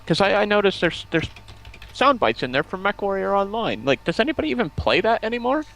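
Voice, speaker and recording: A man's voice: high voice, sing-song, a little fast, creaky, fairly bright tone. A man chatting casually about games as a guest on a live stream. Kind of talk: casual gamer chat. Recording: faint noise, keyboard typing behind.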